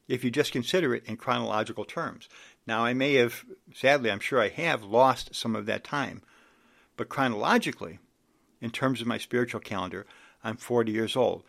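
A man's voice: medium pitch, gravelly, a bit bright. A man in his sixties preaching an earnest sermon, reading scripture aloud and exhorting his listeners. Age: 60s.

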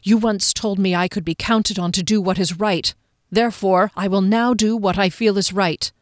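A woman voicing a proud male fantasy captain in an audiobook, formal, deliberate and intense, holding down his anger. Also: medium pitch, fairly even intonation, dry recording, studio quality, close to an everyday voice. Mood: frustrated, cold.

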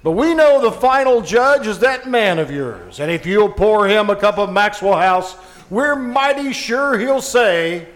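A man's voice: high voice, lively intonation, a little slow, a bit bright. A man playing a cheerful 1950s radio announcer, folksy in his sales patter and mock-dramatic in his storytelling. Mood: cheerful, persuasive. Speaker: announcer and narrator. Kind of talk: 1950s old-time radio announcing and sponsor pitch. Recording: music behind, live stage radio play.

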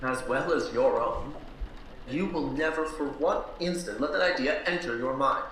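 A man's voice: mid-range voice, normal speed, a bit bright, lightly acted. A man playing a patronizing, controlling physician husband in a period radio play, with a formal, cajoling, condescending manner. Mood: pleading, stern. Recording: very echoey room, faint noise.

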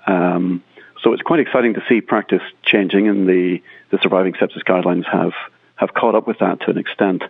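A middle-aged man, a critical care consultant speaking in a measured way and repeating words as he thinks, in a remote podcast interview. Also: low voice, steady tone, gravelly, breathy edge, no room sound. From Northern Ireland. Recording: tinny phone-like line, dry.

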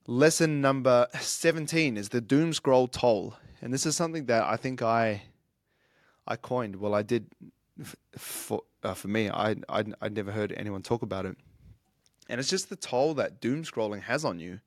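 A man's voice: mid-range voice, normal speed, dry recording, clean studio sound. A man in his thirties hosting his own show, talking through life lessons in an earnest, reflective way, often restarting his sentences. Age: 30s. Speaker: podcast host, fitness coach. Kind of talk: casual monologue.

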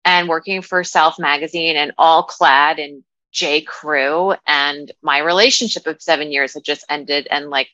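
Low-voiced, bright woman guest. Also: steady tone, unhurried, no room sound, dull audio. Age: 30s. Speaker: guest, dating and relationship coach. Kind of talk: casual conversational interview.

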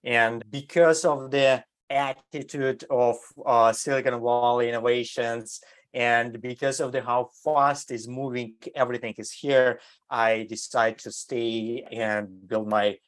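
A man's voice: medium pitch, steady tone, unhurried. Hesitant German-raised man, guest answers. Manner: hesitant non-native English, many fillers and restarts. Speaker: design entrepreneur, guest. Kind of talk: interview answers. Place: California.

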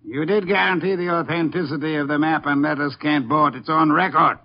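Pompous master detective, muffled radio. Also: male voice, a little high, steady tone, fairly quick. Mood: accusing. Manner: formal, pompous, precise. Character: imperious, cerebral male master detective. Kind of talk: 1950s radio detective drama acting. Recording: muffled vintage 1950s radio recording.

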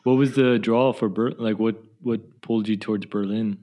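A man's voice: fairly low pitch, steady tone, moderate pace, warm tone. An attentive male interviewer keeps a casual conversation going. He asks easy questions and backs the guest with short acknowledgements.

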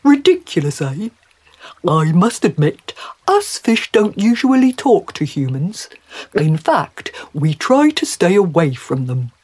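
A woman voicing a polite, kindly male talking trout in a storybook, with courteous, old-fashioned, unhurried speech. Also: on the low side, big pitch swings, a little mellow, husky, exaggerated voice. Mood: courteous, amused.

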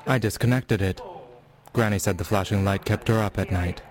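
A man's voice: fairly low pitch, normal speed, close to an everyday voice. A man voicing a polite, formal robot pet caretaker that stutters and glitches, in a sci-fi comedy audio drama. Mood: dreamy, formal. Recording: music behind the voice.

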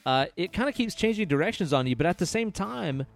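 A man with a quiet voice co-hosting a podcast, talking casually about a movie and swearing freely. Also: sits high, gravelly, faint music behind. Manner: relaxed, swears casually, drawn-out emphasis. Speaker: podcast co-host.

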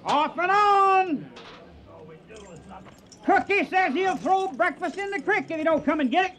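Gruff, high-pitched cowboy trail boss. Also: male voice, lively intonation, measured pace, fairly bright tone, heavily acted. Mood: hollering. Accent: American Western drawl. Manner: folksy, emphatic, barking orders. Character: gruff, hot-tempered cowboy trail boss, complaining and commanding. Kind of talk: radio western acting.

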